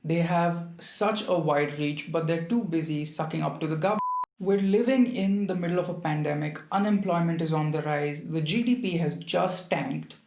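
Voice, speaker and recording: Roomy, higher-pitched Indian man vlogging. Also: moderate pace, darkish tone, slight background noise. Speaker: video creator, commentator. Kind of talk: opinion monologue to viewers. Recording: roomy, echoing sound.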